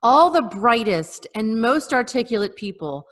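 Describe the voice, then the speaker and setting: Female podcast co-host, higher bright voice, deadpan comic delivery, captured on a reverberant video call. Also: a little slow. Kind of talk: comic list reading and casual chat on a podcast. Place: remote group video call.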